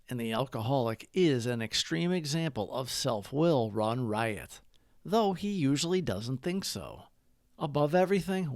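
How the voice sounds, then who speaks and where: A man's voice: a little high, dry recording, clean studio sound. A man hosting a recovery channel, reading a scripted daily reflection and prayer.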